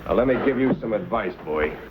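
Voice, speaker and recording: An actor playing a smug, domineering town boss in a Western drama, talking down to people like a bully. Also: male voice, fairly low pitch, lively intonation, fairly quick, lightly acted. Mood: threatening. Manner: condescending, bullying. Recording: very noisy, muffled old soundtrack.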